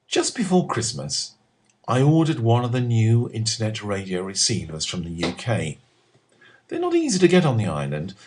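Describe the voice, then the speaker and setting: A middle-aged man with an English accent, reading a scripted personal essay in a deliberate, expressive manner with measured phrasing. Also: mid-range voice, husky. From England. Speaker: writer reading own column.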